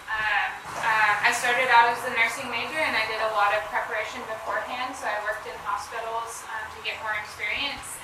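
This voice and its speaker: A young woman talks casually to an audience, her pitch a little raised and her speech full of "um"s and "like"s. She is heard from a distance in a very reverberant hall, with clear background noise. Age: 20s. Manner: casual, frequent um and like fillers. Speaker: student speaker at an education fair. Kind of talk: presentation to an audience. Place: large event hall. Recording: echoey hall from far off with noise.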